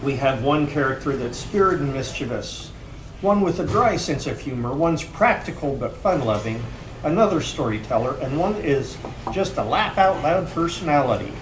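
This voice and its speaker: A man's voice: a little high, expressive, normal speed. A man informally introducing and narrating a readers theater play. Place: room at a campus event. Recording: roomy, distant mic, clear background noise.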